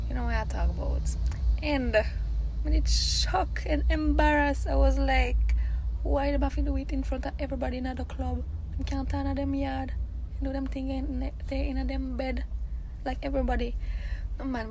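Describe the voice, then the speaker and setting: A woman, telling a lively story about a culture shock. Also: sits high, gravelly, rough recording. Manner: animated storytelling with patois. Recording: faint background noise.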